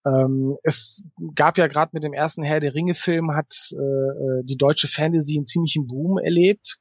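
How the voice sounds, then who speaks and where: A man's voice: a little high, fairly even intonation, normal speed, dry recording, clean studio sound. A man giving casual interview answers as an author, thoughtful and explanatory, feeling his way through his phrasing.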